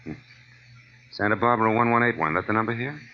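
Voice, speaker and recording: An American man playing a wry, blunt, hard-boiled private detective in 1940s radio drama style, with clipped deadpan delivery. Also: on the low side, fast, slight background noise, natural voice. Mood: suspicious, blunt. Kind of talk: 1940s radio drama acting, first-person noir narration. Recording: dull old-time radio recording with music.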